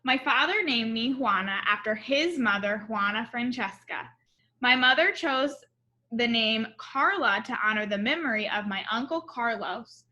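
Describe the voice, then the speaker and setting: A woman with a bright, fairly high voice reads a picture book aloud like a teacher. She is instructive, stepping out of the text to question her young listeners and point things out. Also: lively intonation, a little slow, muffled recording. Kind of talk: storybook read-aloud with asides and questions to children.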